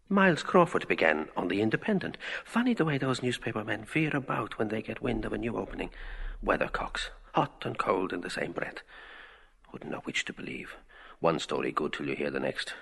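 An actor playing an observant man musing to himself in clipped fragments, husky and gravelly, with reverb, as interior monologue in a radio drama. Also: fairly low pitch, fairly bright tone, heavily acted.

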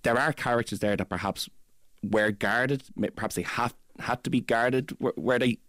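A man's voice: medium pitch, moderate pace, a bit bright, no room sound, studio quality. A male radio host with a Dublin accent who asks long, rambling questions full of restarts. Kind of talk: radio interview.

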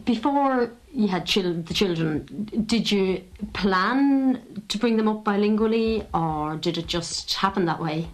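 A woman interviewer asking gentle, probing questions in a radio documentary. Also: mid-range voice, measured pace, hardly any pauses, low-quality recording.

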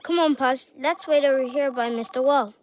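A woman's voice: high voice, normal speed, noisy background, natural voice. A woman playing a friendly, curious, chatty young child in a children's audio drama, polite and matter-of-fact.

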